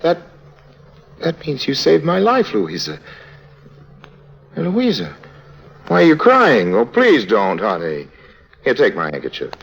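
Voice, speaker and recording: A man's voice: mid-range voice, wildly swooping pitch, slow, vocal fry, pauses often, a bit bright, breathy edge, heavily acted. A man playing a breezy, wisecracking con-man adventurer in a 1950s radio drama, with a wry, slangy delivery. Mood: tender concern.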